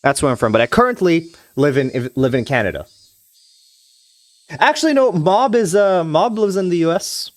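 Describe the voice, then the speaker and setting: A young man from Trinidad and Tobago talks with his viewers in a rambling, start-stop way. His pitch swings a lot and sits a bit high. The sound is dry and close to the mic. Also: big pitch swings, moderate pace, dry recording. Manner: rambling, frequent restarts and hedging fillers. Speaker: streamer. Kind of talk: casual live-stream chat with viewers, reading a visual novel aloud.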